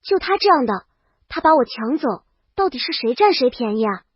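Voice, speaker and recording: Casting: female audiobook narrator, high voice, brash comic storytelling in the first person, dry and slightly dull sound. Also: very animated, normal speed, husky, no echo.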